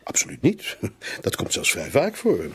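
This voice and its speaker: An actor playing a worried, officious bank manager in a radio drama, explaining in a businesslike, defensive way. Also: male voice, mid-range voice, wildly swooping pitch, normal speed, husky, exaggerated voice. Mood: defensive, formal.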